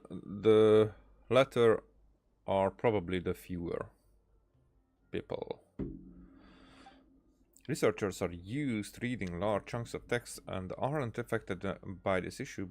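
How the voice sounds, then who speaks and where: A man's voice: on the low side, a little mellow, slight background noise. A man doing casual let's-play commentary, reading game dialogue aloud in a hesitant way with many "uh"s and restarts.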